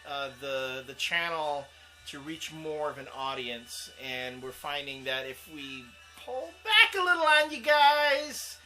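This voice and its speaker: Bright-voiced man chatting casually. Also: fairly high pitch, sing-song, slow, soft background music, rough recording. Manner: rambling, laughs often, many fillers. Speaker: co-host. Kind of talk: casual chat.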